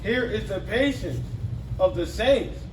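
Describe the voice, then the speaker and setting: A man's voice: high-pitched, lively intonation, unhurried. A young man reading scripture aloud in a declamatory way, phrase by phrase, on the street. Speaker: scripture reader in a street preaching group. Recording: distant, echoey street with traffic noise.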